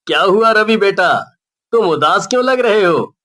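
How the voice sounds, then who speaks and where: Woman voicing a kindly, slow-spoken male schoolteacher. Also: medium pitch, animated, fairly bright tone. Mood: kind, reassuring. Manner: fatherly, advising.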